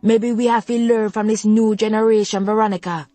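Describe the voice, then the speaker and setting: A woman's voice: medium pitch, little pitch movement, fairly quick, warm tone, dry recording, faint music behind, voice pushed up, natural voice. Woman playing a grave old grandad. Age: older. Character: elderly Jamaican grandfather with a walking stick, calm and grave.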